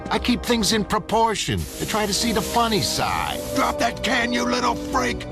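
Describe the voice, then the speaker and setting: A man's voice: sits high, big pitch swings, normal speed. A man playing a cynical, swaggering middle-aged vigilante in a dramatic motion comic. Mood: taunting. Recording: music behind the voice.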